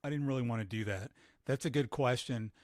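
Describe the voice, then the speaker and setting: A man giving a casual live software tutorial, thinking aloud with fillers, his voice starting to go. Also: mid-range voice, fairly quick, no room sound, studio quality. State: losing his voice. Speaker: photographer demonstrating editing software.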